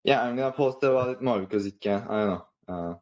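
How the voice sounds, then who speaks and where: A man with a Turkish accent talking casually on a podcast in a halting, rambling way, leaning often on "like". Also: medium pitch, darkish tone. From Istanbul, Turkey. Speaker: online creator and coach, podcast participant.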